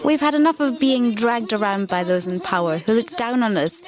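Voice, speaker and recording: A woman's voice: fairly high pitch, a little fast. A woman giving an indignant, emphatic statement in a news report. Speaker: interviewed protester.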